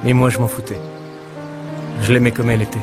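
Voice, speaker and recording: A middle-aged man narrating a film in a calm, introspective voice-over. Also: medium pitch, brisk. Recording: voice-over with loud music behind.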